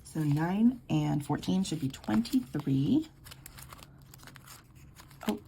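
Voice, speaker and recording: Woman vlogger, hoarse from a sore throat, deep fry-edged voice, murmured counting. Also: lively intonation, slow pace, a little hiss or hum, low-quality recording. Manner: quiet, murmured counting of bills. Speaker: budgeting vlogger. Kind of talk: casual cash-stuffing walkthrough.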